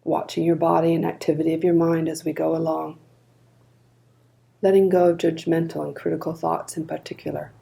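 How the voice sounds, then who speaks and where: A woman leading a guided body scan meditation in a calm, gentle, unhurried manner. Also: deep voice, gravelly.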